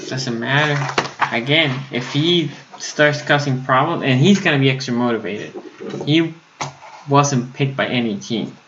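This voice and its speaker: A man's voice: mid-range voice, muffled recording, noisy background. A man from Puerto Rico whose first language is Spanish, hosting a casual sports live stream, reading the chat and restarting his sentences often. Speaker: sports YouTube host.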